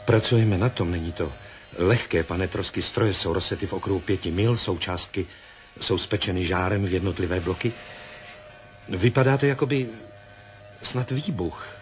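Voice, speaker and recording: A reserved military investigator, played by a man, with a distinctly low voice. He reports to his superior in a terse, matter-of-fact way, in a 1970s radio drama. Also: soft background music, lightly acted. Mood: cautious reporting. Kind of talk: radio play acting.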